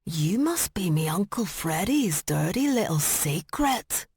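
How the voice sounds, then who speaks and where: A woman's voice: on the low side, sing-song, takes it slowly, darkish tone, husky, no echo, clean studio sound, full character voice. A woman voicing a gloating, threatening Scottish kidnapper in an audiobook story. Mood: cold threat. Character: menacing Scottish woman, a gloating kidnapper tormenting her uncle.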